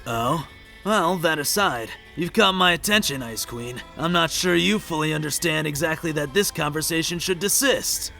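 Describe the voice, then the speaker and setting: A man playing a bitter, grieving, middle-aged hard-drinking huntsman in a fan comic dub, with a gravelly, raspy voice and a mocking, menacing drawl. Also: a little high, normal speed, pushed and bright, faint music behind. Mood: sardonic.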